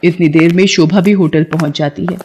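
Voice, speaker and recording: A woman's voice: on the low side, fairly even intonation, fairly quick, warm tone, slight background noise. A woman narrator reading a scripted moral story.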